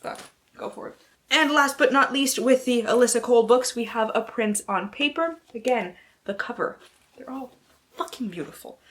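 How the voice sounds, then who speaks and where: A woman's voice: a little high, normal speed, fairly bright tone. A woman book vlogger who mixes casual, slangy chat with mock-dramatic blurb reading and playful, sweary asides to herself.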